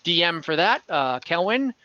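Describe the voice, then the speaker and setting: A man with a bright, fairly high, clean voice acts as game master, improvising scenes in a hesitant, halting way. The sound is very dry and close, as in an online session. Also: very animated, dry recording, muffled recording. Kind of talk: improvised tabletop game narration.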